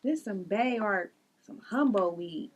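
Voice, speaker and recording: A woman's voice: medium pitch, animated, a little slow. A woman acting a laid-back, grumbling stoner friend with slangy banter at a table read. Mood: playful grumbling.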